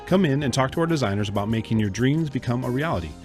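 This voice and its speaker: Male radio ad voice, polished promo read, middle pitch, backing music. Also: expressive, very fast. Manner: smooth promotional delivery. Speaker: ad announcer.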